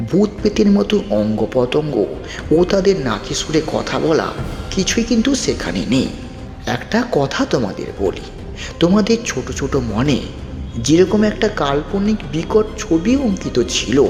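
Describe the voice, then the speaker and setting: Male horror-story narrator: theatrical, animated intonation, measured phrasing, echo-heavy production. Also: medium pitch. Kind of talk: scripted narration of a ghost story. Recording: roomy long reverb, music bed.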